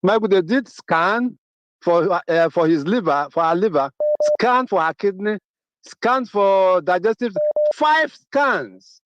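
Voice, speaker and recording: A middle-aged Nigerian man calls in on a dull, muffled, dry phone line. His voice sits fairly high, and he presses his points by saying them over and over. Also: lively intonation, slow, a bit bright, no echo. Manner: emphatic, repeats key phrases. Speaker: caller. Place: Atlanta, abroad.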